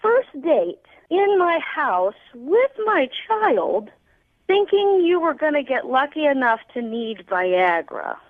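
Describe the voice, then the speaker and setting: A woman's voice: high-pitched, sing-song, takes it slowly. A woman talking casually as a guest on a radio phone call. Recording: dull phone line, voices behind.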